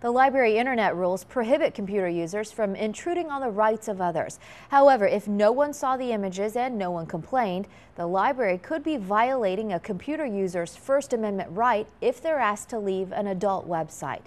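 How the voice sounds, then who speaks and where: A woman's voice: medium pitch, animated, a little fast, few pauses. A woman reading scripted television news as a morning anchor.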